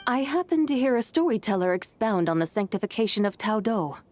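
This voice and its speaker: An actress playing a gentle, enigmatic young lady in an anime video game, speaking politely and formally. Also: mid-range voice, sing-song, a little fast, a little hiss or hum, lightly acted. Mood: curious, courteous.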